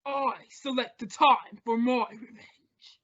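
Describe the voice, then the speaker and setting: A woman's voice: a little high, fairly even intonation, slow pace, a bit bright. A woman playing the haughty, vengeful ghost of an old knight in a radio mystery, declaiming in archaic, menacing style. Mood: stern warning. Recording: dull lo-fi radio play recording.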